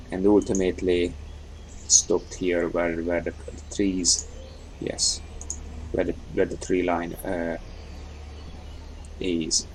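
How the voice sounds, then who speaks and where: A man giving unscripted let's play commentary, haltingly thinking aloud as he goes. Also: deep voice, warm tone, a little hiss or hum, poor audio. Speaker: gaming video host.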